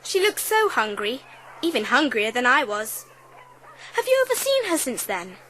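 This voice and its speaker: Woman playing a high, bright little girl. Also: big pitch swings, moderate pace, slight background noise, poor audio. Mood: earnest, kind. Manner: polite, precise. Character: kind, earnest, well-bred little girl. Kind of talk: dramatised audiobook acting.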